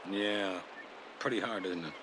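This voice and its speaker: An actor playing a gentle, patient father of a young boy in a television sitcom, explaining things with affection and dry humor. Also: deep voice, measured pace. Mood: thoughtful, wry. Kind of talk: naturalistic television sitcom acting. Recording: noisy old TV soundtrack.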